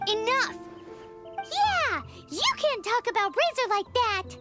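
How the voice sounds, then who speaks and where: Extremely high, feisty little companion. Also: female voice, big pitch swings, slow, over loud music, exaggerated voice. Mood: indignant. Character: tiny high-voiced excitable childlike companion, loyal and feisty. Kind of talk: animated game voice acting.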